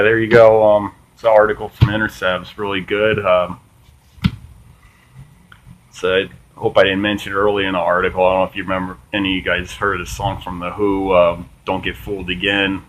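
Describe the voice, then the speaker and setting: A man hosting a small political commentary channel, reading from an article and chatting casually, with a flat monotone delivery and lots of ums and uhs. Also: low-pitched, poor audio. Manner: swears casually, says "man" often.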